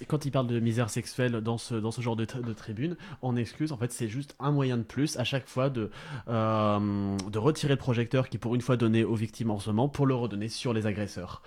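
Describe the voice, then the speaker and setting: A man on a radio show, talking in halting phrases and often restarting mid-sentence. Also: medium pitch, a little mellow. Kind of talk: radio discussion.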